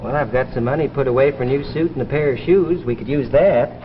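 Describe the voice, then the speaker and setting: An actor playing a timid, dreamy young amateur inventor in a 1950s science fiction drama, mild, wry and hesitant. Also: male voice, mid-range voice, quick pace, close to an everyday voice. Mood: mild, evasive. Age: young adult. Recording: muffled, noisy 1950s broadcast with reverb.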